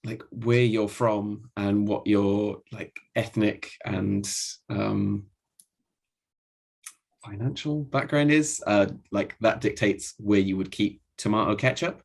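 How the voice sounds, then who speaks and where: A man's voice: on the low side, steady tone, slow, vocal fry. A man in his thirties from Wales, musing with curiosity in a casual discussion. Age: 30s. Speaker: discussion participant.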